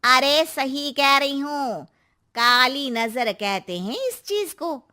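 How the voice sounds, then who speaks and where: A woman voicing a superstitious older neighbourhood aunty in a cartoon, giving slow, emphatic warnings. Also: sits high, expressive, pushed and bright, heavily acted. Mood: ominous warning.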